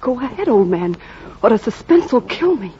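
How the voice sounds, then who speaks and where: A brassy Brooklyn dame, sassy and melodramatic. Her voice is high and leaps about. The sound is a dull vintage radio drama. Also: sing-song, moderate pace, warm tone. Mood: awed. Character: brassy, feisty Brooklyn dame, easily cowed, female. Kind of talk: 1940s radio acting. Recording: muffled, hissy 1940s radio recording.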